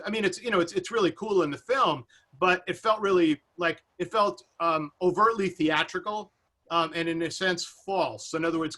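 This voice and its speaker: A man's voice: sits high, pushed and bright. A man giving casual, rambling commentary as a panelist, often breaking off and restarting his thoughts. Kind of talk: casual discussion of acting and film. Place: indoors, online video seminar.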